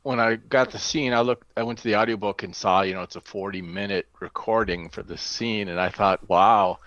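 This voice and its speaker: A man's voice: lowish voice, fairly even intonation, brisk, a bit bright, no room sound. A man chatting casually as a guest about his part in a reading. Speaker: guest, amateur cast reader. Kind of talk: casual chat. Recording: dry, slightly dull lo-fi sound.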